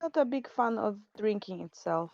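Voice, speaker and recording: A woman from Poland with a Polish accent, talking slowly and haltingly with many restarts in casual chat on a game stream. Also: medium pitch, animated, darkish tone, a little hiss or hum. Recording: dull lo-fi stream audio.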